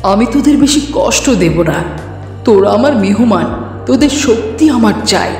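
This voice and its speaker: A woman playing a cruel, power-hungry witch in a fairy-tale cartoon, menacing and taunting with drawn-out words. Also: a little high, expressive, a little mellow, close to an everyday voice. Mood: mocking, threatening. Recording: echoey hall reverb, faint music behind.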